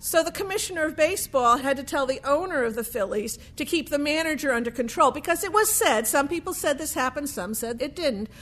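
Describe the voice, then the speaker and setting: A woman telling a true sports history story in a deliberate way, with dramatic pauses and emphasis. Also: high voice, fairly bright tone.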